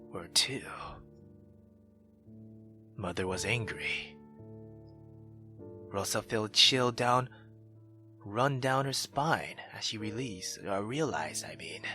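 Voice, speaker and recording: A male gaming YouTuber reading a visual novel aloud and reacting in a theatrical, excitable way. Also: lowish voice. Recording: music behind the voice. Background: background music.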